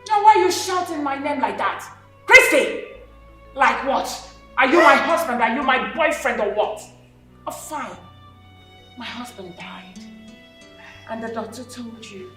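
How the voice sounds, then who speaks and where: A cold, defiant widow. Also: female voice, high voice, expressive, unhurried, a bit bright. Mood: dismissive. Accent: Nigerian. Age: middle-aged. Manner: dismissive and defiant. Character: hard, cold-hearted widowed mother. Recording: echoey room, voice off-mic, faint music.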